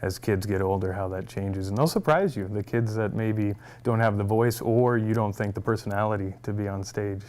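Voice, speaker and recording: A man's voice: on the low side, moderate pace, hardly any pauses, a little mellow. A young man, a music teacher, answering interview questions in a friendly, upbeat way and laughing easily.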